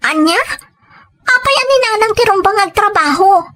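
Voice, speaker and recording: A woman's voice: extremely high pitch, lively intonation, moderate pace, sharp tone, full character voice. A woman playing a caring young granddaughter on a phone call in a family drama. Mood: worried.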